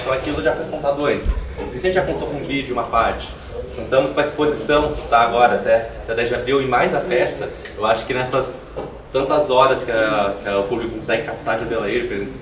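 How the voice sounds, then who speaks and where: Young male interviewee, rapid informal delivery, recorded at some distance in a reverberant room. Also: medium pitch. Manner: informal, colloquial, thinks aloud. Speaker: theatre artist being interviewed. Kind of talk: animated conversational interview answers. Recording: roomy, distant mic, faint noise.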